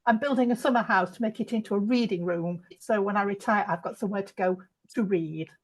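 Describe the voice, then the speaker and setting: Middle-aged woman, mid-pitched voice. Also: fast. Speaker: reader on a book club call. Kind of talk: casual chat. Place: video call.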